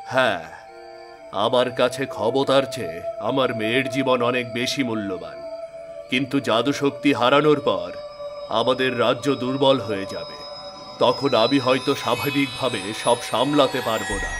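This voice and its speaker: A grave fairy king acted by a man, protective and self-sacrificing, speaks in a stately cartoon-drama manner. The voice sits at a middle pitch and is measured in delivery. Music is clearly audible underneath. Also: natural voice. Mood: grave, worried.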